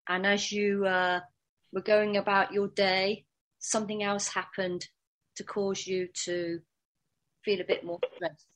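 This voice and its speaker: A woman dog trainer teaching a workshop in a calm, steady voice that she pushes from the diaphragm. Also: medium pitch, a little slow.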